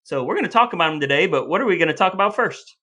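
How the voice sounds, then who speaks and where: A man with an American accent co-hosting a podcast, telling stories in an animated, conversational way. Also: high-pitched, racing. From United States. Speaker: podcast co-host. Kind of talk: conversational storytelling and discussion.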